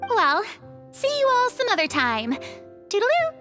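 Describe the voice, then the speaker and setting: Very high, theatrical girlish lady. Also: unhurried, soft background music, heavily acted. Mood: cheerful. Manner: expressive, dramatic. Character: theatrical, girlish young lady, earnest, cheerful and courteous. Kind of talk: scripted video game dialogue.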